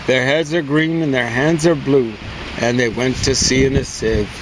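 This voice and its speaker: Male poem reader and casual chatter, mid-range voice, recorded outside over clearly audible traffic. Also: medium pitch, fairly even intonation, moderate pace. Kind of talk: casual chat and poem reading. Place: outdoors on a deck. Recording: outdoor recording with clear vehicle noise.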